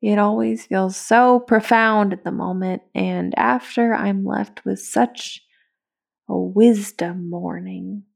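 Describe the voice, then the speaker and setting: An anxious, searching young woman in a naturalistic audio drama. She talks slowly and haltingly, with fry in her voice and breathy sighs, as if confiding to a recorder. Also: mid-range voice, fairly even intonation, full character voice. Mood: uneasy, reflective. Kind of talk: naturalistic found-footage audio drama acting.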